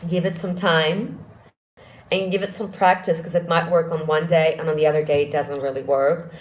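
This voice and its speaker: A woman vocal coach with a deep speaking voice, answering viewer questions and demonstrating exercises in a live singing lesson. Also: little pitch movement, normal speed.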